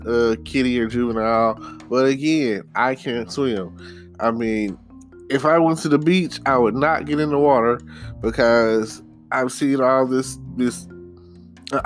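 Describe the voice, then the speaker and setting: A man from Texarkana in the United States hosting a casual solo podcast, talking in a halting, rambling way with repeated words and frequent check-in phrases. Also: mid-range voice. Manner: rambling, halting, repeats words, says "you know" often. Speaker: podcast host.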